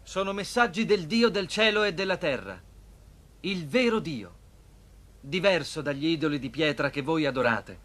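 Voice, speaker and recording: High-voiced, earnest young slave. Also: male voice, lively intonation, fairly bright tone. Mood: devout, firm. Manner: earnest, measured. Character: earnest young Hebrew slave, devout dream interpreter. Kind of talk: dubbed cartoon acting.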